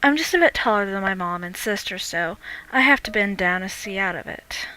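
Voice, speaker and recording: A woman's voice: medium pitch, expressive, brisk, bright, forceful tone, slight background noise, rough recording. A young adult woman reading a first-person horror story as dramatic scripted narration. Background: music.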